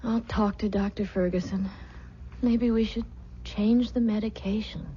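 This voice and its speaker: A woman's voice: mid-range voice, fairly even intonation, unhurried, lightly acted. A woman playing an anxious, exhausted young expectant wife in an old-time radio drama, speaking hesitantly. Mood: brushing off worry. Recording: dull old radio recording with hiss.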